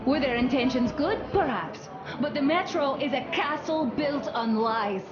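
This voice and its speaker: Game casting: earnest young survivor woman, highish voice, intimate and emphatic delivery, unhurried; roomy with ambient noise. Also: highs cut off, crowd noise. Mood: bitter, troubled. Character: earnest young woman confiding doubts in a post-apocalyptic world. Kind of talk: video game dramatic monologue.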